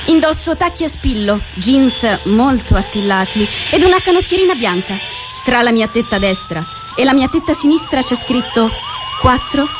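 A woman's voice: fairly high pitch, lightly acted. A young actress playing a sardonic young woman who scrapes by on odd jobs, in a declamatory stage monologue. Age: young adult. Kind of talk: theatrical monologue, list-like recitation. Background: music.

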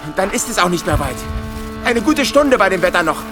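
An actor playing a simple, wary country carter in a German radio drama, blunt and halting, often repeating himself. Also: male voice, high-pitched, sing-song, over music.

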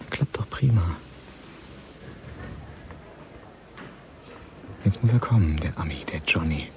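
An actor playing a tense, cynical gangster in a radio drama monologue, muttering in short clipped phrases that often sink toward a whisper. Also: male voice, sits low, noisy background. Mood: tense, hushed. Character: tense, cynical male Moscow gangster watching a handover. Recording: studio radio play with prominent music. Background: music.

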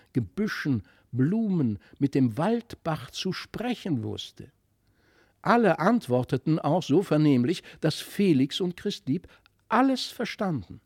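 A man giving an expressive, scripted narration of a literary fairy tale. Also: a little high, husky, dry recording, studio quality. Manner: expressive storytelling. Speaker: audiobook narrator.

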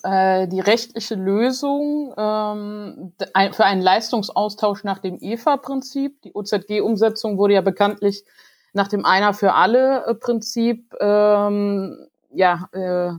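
A woman lawyer guesting on a podcast, giving measured, formal explanations with some hesitations. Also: mid-range voice. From Germany, grew up in Hesse. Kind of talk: explaining in an interview. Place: remote call.

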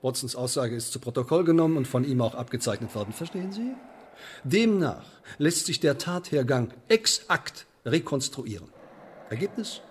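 Man acting a pompous, animated sheriff. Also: mid-range voice, moderate pace, a little hiss or hum, close to an everyday voice. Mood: menacing, smug. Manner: pompous, pedantic, bureaucratic. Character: pompous, officious English sheriff interrogating a suspect. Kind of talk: radio play dialogue.

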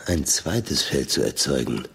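An actor in a German radio play as a middle-aged researcher, dry, sardonic and measured in his delivery. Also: a bass voice, big pitch swings, a bit bright, slight background noise, full character voice. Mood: reflective, matter-of-fact. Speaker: narrator and lead character of a radio play. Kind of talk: German radio drama acting, first-person narration.

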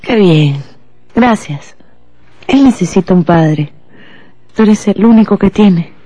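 An actress playing a devoted, protective wife in a radio drama, earnest and gentle. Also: female voice, medium pitch, lively intonation, slow.

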